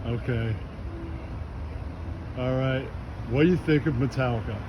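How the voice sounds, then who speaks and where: A man works as a street interviewer, firing off blunt, abrupt questions in an informal way. His voice sits at a middling pitch, and clear traffic noise from the street runs behind him. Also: normal speed, muffled recording. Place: outdoors on a street. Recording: noisy outdoor street with traffic.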